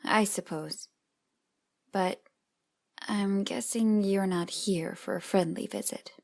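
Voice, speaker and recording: A woman's voice: medium pitch, slow pace, gravelly. A woman playing a stubborn, vengeful young female fighter in an audio drama. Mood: wary. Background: loud background music.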